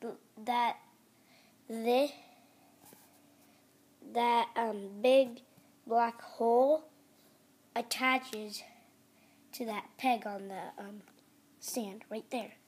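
A woman who is sick and sounds raspy, hosting a casual home review vlog indoors, talking hesitantly with many restarts into a phone held close. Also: fairly high pitch, fairly even intonation, extremely slow, long pauses. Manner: hesitant, restarts often, quiet and mumbly at times. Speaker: channel host. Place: indoor carpeted room at home.

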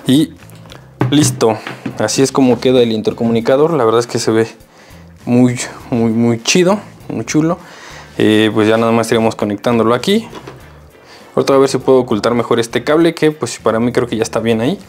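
A man's voice: medium pitch, fairly quick. A man with a Mexican accent giving a casual, slangy hands-on tutorial as a motorcycle vlogger. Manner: informal, slangy. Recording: loud music behind the voice.

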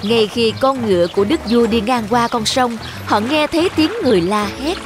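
A woman narrates children's fairy tales in a fairly high voice. It is scripted storytelling, with music clearly playing behind her. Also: normal speed. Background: background music.

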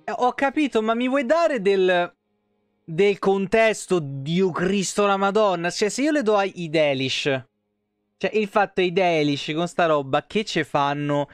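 A man's voice: sits high, a little slow, clean tone, no room sound, faint music behind. A man, giving casual, sweary, grumbling live game commentary into a close mic. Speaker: game streamer. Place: small indoor room.

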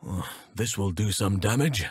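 An actor playing a wise, gentle elf druid in a video game, with a measured, formal, thoughtful delivery. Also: male voice, fairly low pitch, expressive, husky, dry recording, clean studio sound. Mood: thoughtful, calm. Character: wise, gentle male elf druid, nature-loving and solemn. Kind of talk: dramatic game dialogue.

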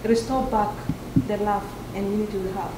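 A woman leading a group in spoken prayer, slow and solemn. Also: medium pitch, flat delivery. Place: large room at a residence, group standing. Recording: echoey room with loud background hiss.

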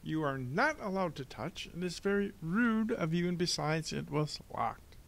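High-pitched, creaky, shifty villain. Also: male voice, animated, normal speed, a bit bright. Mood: indignant. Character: shifty villainous man, evasive, indignant impostor.

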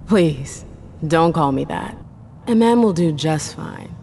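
A woman's voice: sits low, expressive, slow, vocal fry, warm tone, a little hiss or hum, heavily acted. A woman playing a cool, world-weary vampire club owner in a video game, sardonic and self-assured. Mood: wry.

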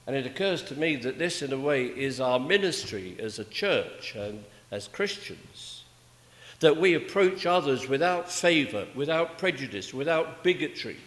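An older man preaching a sermon in a measured, rhetorical manner, with emphatic pauses and repeated phrases. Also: mid-range voice, lively intonation, fairly bright tone. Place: indoors, small church at a pulpit. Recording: echoey church with long reverb.

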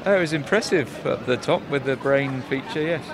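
A man's voice: medium pitch, a little fast. A middle-aged man with a British accent chatting casually in a street interview outdoors. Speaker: interviewed visitor. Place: busy outdoor exhibition plaza. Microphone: handheld reporter microphone. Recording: noisy open-air street recording.

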